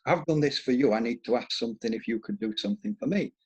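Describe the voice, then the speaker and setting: Husky middle-aged Yorkshire man, casual storyteller, mid pitch, loose and rambling. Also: fast talker, a little mellow. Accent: Yorkshire, softened by years in Scotland. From England, Yorkshire. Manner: rambling storyteller with frequent restarts. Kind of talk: casual conversation with anecdotes.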